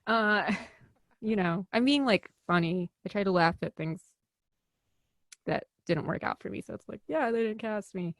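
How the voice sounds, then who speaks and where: A woman's voice: medium pitch, unhurried, dry recording. A young woman with a Chicago accent, chatting casually in an interview and laughing often between many fillers. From American Midwest. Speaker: actor, interview guest.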